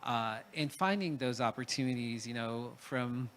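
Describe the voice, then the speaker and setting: A man's voice: medium pitch, measured pace, fairly bright tone, a little hiss or hum. A man from Peru speaking as a panelist in a reflective talk, hesitant, with many fillers and restarts.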